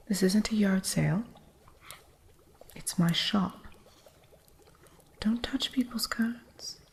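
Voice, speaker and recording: A woman performs an eccentric witch who keeps a cluttered curio shop, whispering slowly and softly right into a close microphone. Her low voice has a gravelly, fry-tinged texture. She is possessive and a little stern, like a keeper of guarded secrets in an ASMR roleplay. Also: a little hiss or hum. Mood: stern scolding. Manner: soft, unhurried whispering with a stern, territorial edge.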